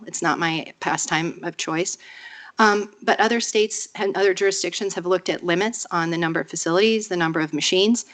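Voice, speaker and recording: A woman with a cold and a nasal voice, speaking in a measured, persuasive way during public comment at a podium microphone in a council chamber. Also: on the low side, a bit bright.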